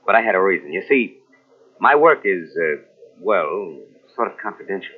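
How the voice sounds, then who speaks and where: Smooth crook, confiding and glib, lowish voice with lively swings, old radio drama sound. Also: male voice, normal speed, full character voice. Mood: breezy, confiding. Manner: breezy, ingratiating, confiding. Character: smooth-talking male crook posing as a government agent. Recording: tinny old radio recording from cassette.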